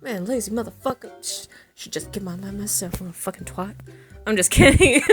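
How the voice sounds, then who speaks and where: A woman's voice: mid-range voice, fairly bright tone. A woman recording outtakes as an amateur voice actor, giggly, self-mocking and prone to swearing between retakes. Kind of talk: recording session outtakes, flubbing and retaking lines.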